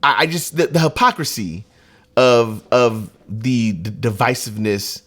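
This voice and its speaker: A man's voice: medium pitch, unhurried, a bit bright. An American man co-hosting a casual podcast, talking reflectively and hesitantly, with many fillers. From United States. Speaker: podcast co-host. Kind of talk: casual discussion.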